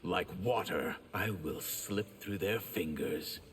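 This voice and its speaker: An actor voicing a solemn, menacing water sorcerer with a formal, imperious manner in a video game. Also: male voice, sits low, takes it slowly, a bit bright. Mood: cold, imperious. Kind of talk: video game fight intro acting. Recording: hall reverb, faint noise.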